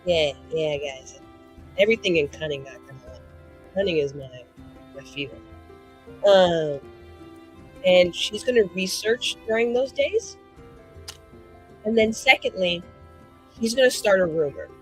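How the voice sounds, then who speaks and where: A woman's voice: fairly low pitch, measured pace, long pauses. A young woman playing a tabletop game, chatting casually in a theatrical, sweary, mischievous manner. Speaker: player. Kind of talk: casual, excited game chat. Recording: faint music behind. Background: soft background music.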